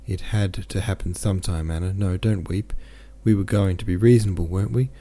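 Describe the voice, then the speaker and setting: A man with a deep voice reading the lines of a sad, gentle young merchant from a novel. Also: fairly even intonation, fairly quick. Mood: heavy-hearted. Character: young male merchant, heavy-hearted and gentle.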